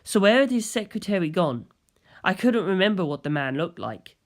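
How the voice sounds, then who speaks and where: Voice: medium pitch, big pitch swings, moderate pace. A narrator reading a scripted story in the first person.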